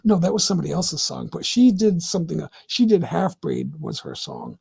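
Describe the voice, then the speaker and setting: A middle-aged man hosting his own livestream, talking casually and answering chat in a rambling, opinionated way with many halting restarts. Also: on the high side, huge pitch swings, creaky, darkish tone, husky. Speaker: livestream host.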